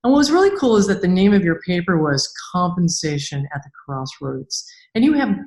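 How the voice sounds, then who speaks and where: A woman's voice: on the low side, very animated, fairly quick, a little mellow. A woman hosting a podcast interview in a casual, chatty style. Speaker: podcast host, author and engineer. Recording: roomy, echoey sound.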